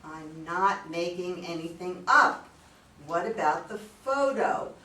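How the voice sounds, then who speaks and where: A woman's voice: on the low side, animated, slow pace, sharp tone, exaggerated voice. A woman playing an anxious, indignant wife who believes she is watched online, in a staged play reading. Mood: defensive. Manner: defensive, exclamatory. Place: room at a live readers' theater reading. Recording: echoey room, distant mic, faint noise.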